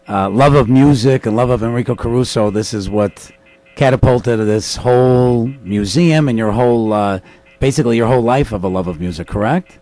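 A man's voice: mid-range voice, moderate pace, darkish tone. A man interviewing a guest, asking eager, encouraging questions.

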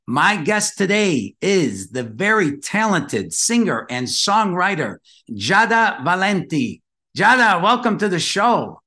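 Bright, high-voiced male podcast host. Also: sing-song, takes it slowly. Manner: enthusiastic, promotional. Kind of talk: podcast interview.

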